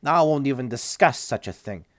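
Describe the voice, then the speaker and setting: A man's voice: medium pitch, lively intonation, normal speed, dry recording, studio quality, voice pushed up, close to an everyday voice. A man voicing a nervous, indignant hospital director in an audiobook, prim and exasperated in manner. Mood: outraged. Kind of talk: audiobook character voice.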